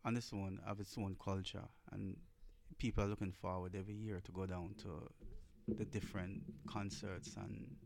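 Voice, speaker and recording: A man's voice: low-pitched, level tone, normal speed, creaky. A man giving his opinion as a studio guest in a casual radio discussion, informal and checking he is understood.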